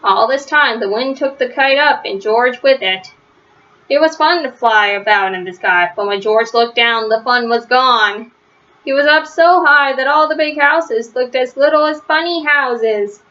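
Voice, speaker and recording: A woman reading a children's storybook aloud in an expressive way, with drawn-out emphasis. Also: sits high. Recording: dull, lo-fi sound.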